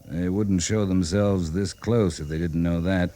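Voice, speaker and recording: Steady, low-voiced cavalry captain. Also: male voice, slight background noise. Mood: calm, guarded. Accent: American. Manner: measured, terse, dry. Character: steady, authoritative frontier cavalry captain, calm under threat. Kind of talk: old-time radio drama acting.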